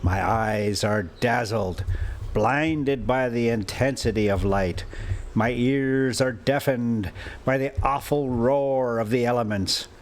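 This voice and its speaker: A man reading a classic adventure story aloud as a storyteller, in deliberate, halting phrases with an informal touch. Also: medium pitch. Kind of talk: audiobook-style reading with casual asides.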